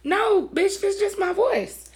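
A woman with a raspy voice, co-hosting a podcast in casual, blunt, profane chat with frequent laughter. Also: very high-pitched, lively intonation, slow. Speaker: podcast co-host.

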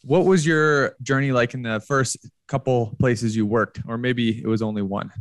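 A man's voice: mid-range voice, fairly even intonation, normal speed, no echo. Conversational male podcast host, dry. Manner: conversational, stumbles and repeats words. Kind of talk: podcast interview.

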